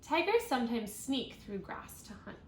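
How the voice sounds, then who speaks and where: A woman teacher reading a children's nonfiction book aloud, thinking out loud and guiding young listeners as she goes. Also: on the high side, very animated, moderate pace. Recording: roomy echo, audible background noise.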